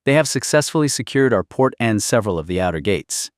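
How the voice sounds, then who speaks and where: A commanding queen , as a male audiobook narrator reads her, grave and imperious in a historical saga. The voice is low and sits in a dry, close sound. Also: expressive, fast, no echo, natural voice. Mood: somber, resolute. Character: commanding middle-aged queen, an anxious, grave mother. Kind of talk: audiobook character dialogue.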